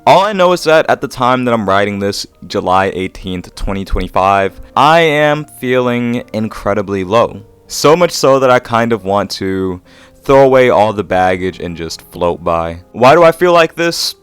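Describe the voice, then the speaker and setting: Low-pitched introspective man narrating. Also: lively intonation, normal speed, clear, resonant tone, soft background music. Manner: introspective, confessional. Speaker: video essayist. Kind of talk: scripted video essay narration.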